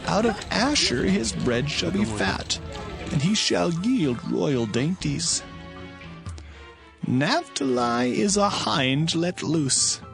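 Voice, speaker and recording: Slow, creaky old dying patriarch. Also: male voice, a little high, sing-song, exaggerated voice. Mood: solemn blessing. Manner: slow, declamatory. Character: aged dying biblical patriarch, solemnly blessing his sons. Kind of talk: dramatized scripture audio. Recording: music under the voice.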